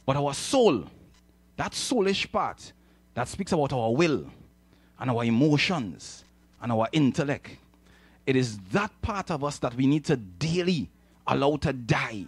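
A man's voice: medium pitch, big pitch swings, measured pace, slightly rough, no room sound. A man with a Trinidadian accent preaching emphatically in a sermon style, repeating phrases and prompting his listeners to agree, speaking into a handheld microphone. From Trinidad and Tobago. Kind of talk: animated sermon with audience call-and-response. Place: church hall, speaking from the stage.

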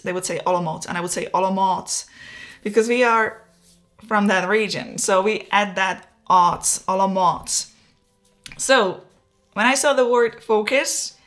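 A woman in her forties speaks English with a Czech accent in an easy, chatty vlog manner. Her voice sits at a middle pitch. She is close on a lapel mic in a home studio, with soft music underneath. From Czech Republic. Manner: relaxed, chatty, self-deprecating, gestures with her hands. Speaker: photography YouTuber. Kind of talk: casual talking-head vlog. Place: home studio room, indoors. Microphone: wireless lapel mic.

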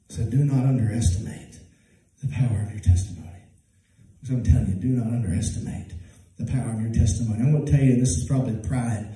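A man in his thirties with a Southern US accent, preaching a sermon at a church pulpit. He swings from loud, high-pitched preaching to low, soft passages. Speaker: pastor. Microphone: handheld mic, filmed from far off. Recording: echoey church from far off.

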